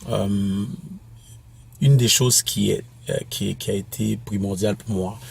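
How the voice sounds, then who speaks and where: A minister from Quebec, whose first language is English, answers interview questions in a halting way, with many restarts and fillers. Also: male voice, on the low side, steady tone, gravelly, husky. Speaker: apostolic minister and author.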